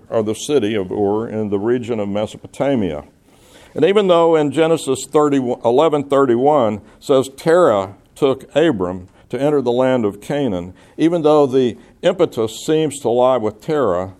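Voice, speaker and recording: A man preaching in a church service, reading Bible passages and explaining them in a measured, teacherly way as he reasons aloud. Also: mid-range voice, darkish tone.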